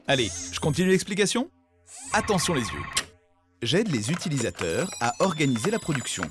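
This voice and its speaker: A man voicing an eager, self-assured, helpful software mascot, presenting in an upbeat way in an educational cartoon. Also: a little high, sing-song, fairly quick, music in the background. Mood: proudly presenting. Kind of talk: scripted explainer in an educational cartoon.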